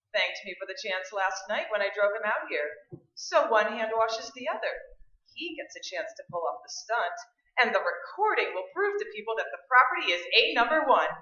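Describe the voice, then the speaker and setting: A woman's voice: medium pitch, pushed and bright. A woman playing an eager, talkative real estate agent with persuasive, breezy sales patter in a staged old-time radio play. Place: live stage performance. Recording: dull, echoey live stage recording.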